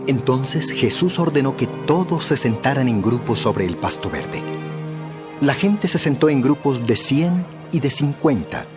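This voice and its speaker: A man narrating a dramatized Bible story in a measured, expressive storytelling style. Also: mid-range voice, over music.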